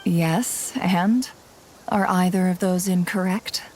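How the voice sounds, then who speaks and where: A woman's voice: lowish voice, fairly even intonation, slow pace, a little mellow, a little hiss or hum. A woman playing a blunt, dry-witted young fighter in a video game cutscene, curt and sardonic.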